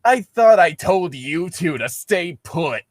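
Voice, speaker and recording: A man voicing a sneering evil dark swordsman villain with slow, drawling taunts, in a mocking fan fiction reading. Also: sits high, lively intonation, dry recording, pitched above the performer's own voice, full character voice. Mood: sneering threat.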